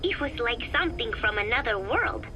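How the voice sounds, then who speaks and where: A woman's voice: fairly high pitch, lively intonation, normal speed, pushed and bright, slight background noise, poor audio. A woman voicing an earnest, innocent teenage girl in a video game, reading her diary with careful, girlish, musing delivery. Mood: bemused. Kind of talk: in-character audio diary narration.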